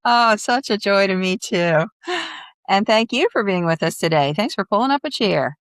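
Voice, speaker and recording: Woman, podcast host, mid-pitched, effusive, encouraging, casual interview chat, dry close recording. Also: lively intonation, moderate pace, no echo.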